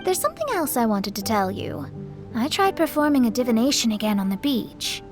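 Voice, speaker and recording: A poised young astrologer girl in a video game. Her voice is high, measured and sure of itself. Music plays clearly behind her. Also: big pitch swings. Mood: concerned, confiding. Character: composed, articulate young female astrologer. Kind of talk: English video game voice acting.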